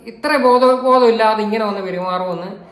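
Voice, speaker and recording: A woman's voice: mid-range voice, normal speed, background noise. A woman with a Kerala accent giving casual reaction commentary as a video commentator. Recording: roomy, echoing indoor sound.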